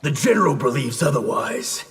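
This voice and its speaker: An actor playing a bloodthirsty, loyal soldier in a fighting game, speaking with taunting menace. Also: male voice, mid-range voice, big pitch swings, moderate pace, vocal fry, fairly bright tone. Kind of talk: video game fight dialogue.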